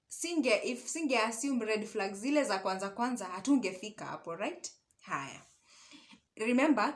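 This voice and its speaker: A young adult Kenyan woman chatting casually in a personal storytime, dramatic and expressive, switching languages mid-sentence and tossing out rhetorical questions. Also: a little high. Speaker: storytelling vlogger.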